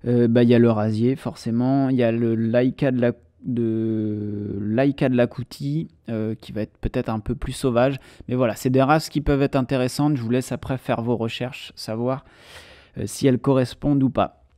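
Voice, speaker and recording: A man's voice: mid-range voice, steady tone, moderate pace, mellow, no room sound, clean studio sound. A man hosting a solo podcast, explaining casually with many hesitations and fillers. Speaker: podcast host.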